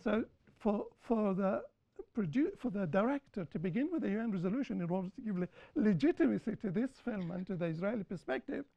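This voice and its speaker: A woman speaking on a panel after a film screening, lecturing in a deliberate way and repeating points for emphasis. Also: medium pitch, expressive, creaky. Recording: dull lo-fi recording.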